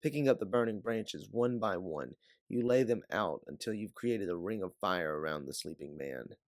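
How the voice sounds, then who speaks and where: A man reading scripted second-person story narration as an audiobook narrator, in a dramatic, deliberate way. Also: deep voice, animated, no echo.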